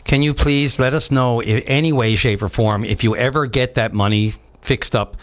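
A man hosting a call-in radio show, earnest and halting, with lots of "you know" and restarts. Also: mid-range voice, steady tone. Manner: earnest, halting, with many fillers. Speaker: radio host.

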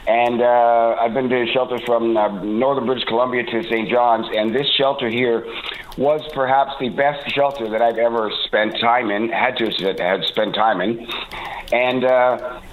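A man in his sixties from Toronto, calling in to a radio show, talking haltingly with many fillers and restarts, a bit congested from a cold. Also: medium pitch, fairly even intonation, slight background noise. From Toronto, Canada. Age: 60s. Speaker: caller. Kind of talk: call-in radio talk. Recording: tinny phone line.

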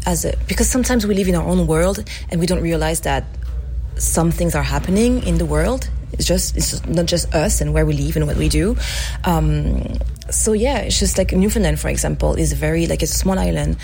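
Woman, French accent, actor guest, lowish pitch, laughs often, light background hum. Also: fairly quick, gravelly. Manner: warm, laughs often, animated. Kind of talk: radio interview.

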